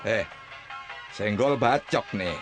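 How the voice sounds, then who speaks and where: A man playing an excitable, gossipy onlooker in an audio drama, exclamatory and teasing. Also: on the low side, slow pace, over music. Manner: exclamatory, joking.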